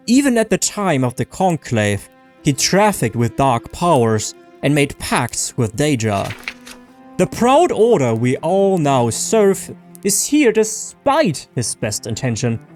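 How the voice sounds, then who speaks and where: Guy reading old fantasy lore books, measured but really animated intonation, bit of music behind him. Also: mid-range voice. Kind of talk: scripted audiobook-style narration.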